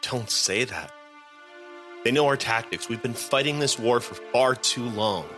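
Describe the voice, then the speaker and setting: A man playing a concerned, supportive young rebel in an audio drama, gentle and earnest. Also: mid-range voice, lively intonation, a little slow. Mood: concerned, reassuring. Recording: voice under loud music.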